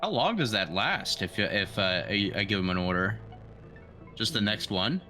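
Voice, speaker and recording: A man with a fairly low, forceful voice plays a tabletop game. He is casual, sweary and joking, and his pitch moves around in a lively way. Faint music sits behind him. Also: normal speed.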